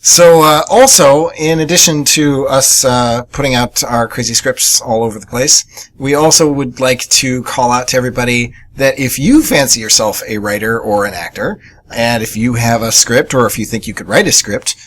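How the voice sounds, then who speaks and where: A man's voice: medium pitch, moderate pace, a bit bright. Male co-host, mid-range voice, casual and chatty radio announcements with a jokey streak.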